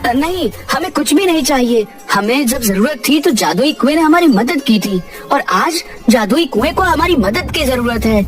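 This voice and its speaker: A woman's voice: sits high, lively intonation, normal speed, few pauses. A woman voicing an earnest, brave young villager in a children's cartoon, dramatic, over music. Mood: determined. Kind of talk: children's cartoon story dub. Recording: music under the voice.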